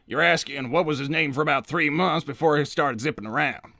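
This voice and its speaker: A man's voice: on the high side, brisk, sharp tone, no echo, studio quality. A man voicing an old hedgehog uncle in a cartoon interview, hesitant and evasive, stammering and repeating himself as he rambles. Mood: evasive, rambling. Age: older. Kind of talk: improvised in-character interview.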